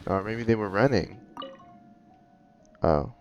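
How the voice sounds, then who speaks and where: A man's voice: lowish voice, slow pace, darkish tone, dull audio, a little hiss or hum. A man commenting casually while playing a game, musing and thinking aloud with small chuckles. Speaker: gameplay commentator. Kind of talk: casual let's-play commentary.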